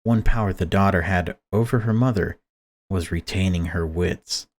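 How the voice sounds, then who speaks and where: Male let's player, low register, reading grim story narration aloud with dramatic delivery. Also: moderate pace, a little hiss or hum.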